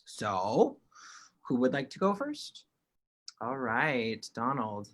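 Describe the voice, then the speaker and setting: Man, interpreter voicing signers, bright, forceful delivery, restarts phrases, online call mic. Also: mid-range voice, big pitch swings, slow pace. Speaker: sign language interpreter. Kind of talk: voicing signed panel remarks in first person.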